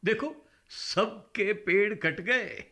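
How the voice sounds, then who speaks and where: An actor playing a good-humored village elder in a radio drama, hearty, wry and emphatic. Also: male voice, high voice, drawn-out words, sharp tone, heavily acted. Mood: satisfied. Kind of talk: Hindi radio drama acting.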